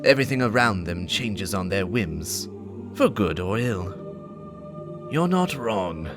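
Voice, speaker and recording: Man doing a raspy, world-weary old man. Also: fairly low pitch, sing-song, takes it slowly, music in the background. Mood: resigned. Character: grumbling raspy male elder on a bench.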